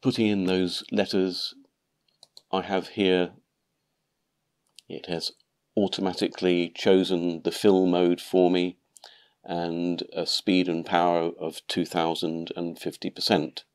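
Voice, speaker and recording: Man, very low voice, nasal with a cold, calm methodical tutorial narration. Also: a little slow. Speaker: maker channel host.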